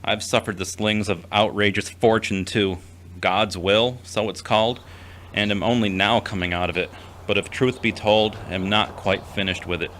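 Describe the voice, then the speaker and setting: A man playing a gentle, reserved, long-suffering character in an audio drama, with a measured, formal manner. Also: lowish voice, fairly even intonation, a little hiss or hum, lightly acted. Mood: sympathetic, solemn. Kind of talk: scripted audio drama acting.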